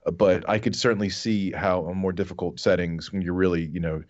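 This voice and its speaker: A man with a low voice talks games casually and with enthusiasm. The sound is close and dry, slightly muffled, like a podcast panelist on a modest microphone. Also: steady tone, a little fast, no room sound. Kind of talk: casual chat about games.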